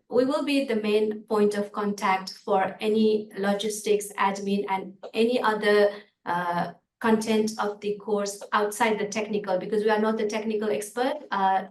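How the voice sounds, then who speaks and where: A woman's voice: mid-range voice, roomy sound, far from the mic, low-quality recording. A woman from Nepal, a course coordinator, introducing herself earnestly and formally on a video call.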